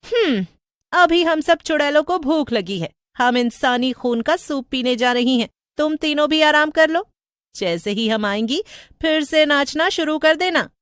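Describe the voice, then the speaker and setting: A woman's voice: sits high, animated, unhurried, a bit bright, dry recording, studio quality, pitched above the performer's own voice. A woman voicing a bossy, sly witch who gives threatening orders in a horror story. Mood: sly, commanding. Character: bossy female witch in disguise, hungry and threatening.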